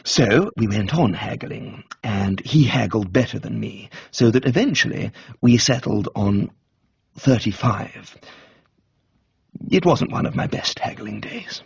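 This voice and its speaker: A man's voice: lowish voice, gravelly, a little mellow, slightly rough, dry recording, lo-fi sound. A man with an upper-class southern English accent reading comic first-person narration in a polished, wry manner. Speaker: audiobook narrator.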